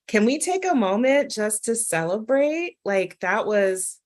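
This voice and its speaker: A woman's voice: a little high, very animated, unhurried. A woman author hosting a reading event, speaking informally to the participants. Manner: informal, uses y'all.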